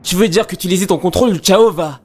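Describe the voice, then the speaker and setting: A man's voice: high voice, fast, darkish tone. A man playing a cocky, upbeat hedgehog hero in a cartoon fan dub. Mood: determined.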